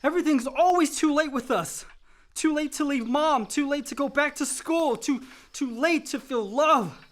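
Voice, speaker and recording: An actor playing a dutiful, resentful grown son in a radio play, earnest and wounded. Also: male voice, extremely high pitch, normal speed, fairly bright tone. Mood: bitter outburst.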